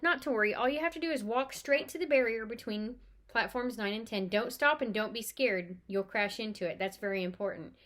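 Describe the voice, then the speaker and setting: A busy storybook mother, read aloud by a woman, fond but firm. Her voice sits fairly high as she briskly gives her children instructions. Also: fairly bright tone, close to an everyday voice. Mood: reassuring.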